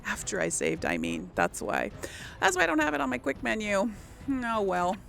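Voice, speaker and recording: A woman's voice: mid-range voice, huge pitch swings, normal speed, gravelly, a bit bright, soft background music. A woman giving casual video game commentary, thinking aloud and exclaiming as she plays. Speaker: video game let's play host.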